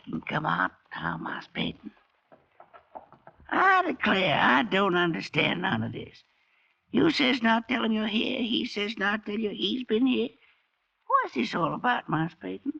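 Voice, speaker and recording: An actor playing a good-natured freed slave in an old-time radio drama, with a folksy, meandering Southern drawl. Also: male voice, high-pitched, wildly swooping pitch, measured pace, creaky, pauses often, sharp tone, exaggerated voice. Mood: deferential, puzzled. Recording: muffled old radio broadcast.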